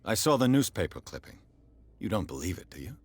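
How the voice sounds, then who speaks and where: An actor playing a terse, gruff private investigator who questions people bluntly in a video game, with a husky voice. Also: male voice, on the low side, very animated, a little fast, dry recording. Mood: skeptical. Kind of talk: video game cutscene dialogue.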